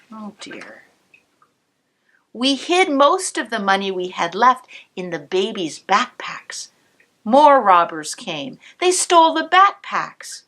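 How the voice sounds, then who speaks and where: Cantor reading a picture book to kids; slow, sing-song delivery with lots of pitch movement. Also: female voice. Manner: warm, expressive storytelling with asides to the listener.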